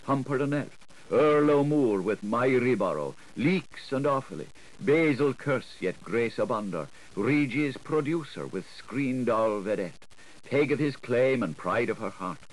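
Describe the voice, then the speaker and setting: A man's voice: medium pitch, expressive, measured pace, rough recording. A man giving a theatrical audiobook reading of literary prose, in a declamatory, rhythmic way. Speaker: audiobook narrator.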